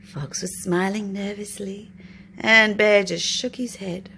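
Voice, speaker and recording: A woman's voice: medium pitch, steady tone, slow pace, bright, forceful tone. A woman narrating a children's storybook expressively as a storytime reader. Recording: noisy home recording.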